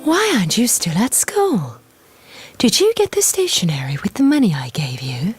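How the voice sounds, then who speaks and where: A soft, whispering fairy who scolds with care played by a woman. Her breathy voice moves expressively in a storybook style. Music plays clearly behind her. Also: medium pitch, huge pitch swings, normal speed. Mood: stern questioning. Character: gentle but scolding female fairy guardian. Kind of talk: children's storybook character acting.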